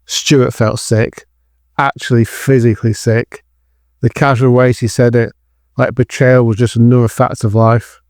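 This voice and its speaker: A male narrator with a mid-pitched voice reads a scripted dramatic thriller. The sound is dry and close, with no room around the voice. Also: little pitch movement, unhurried, a little mellow, dry recording.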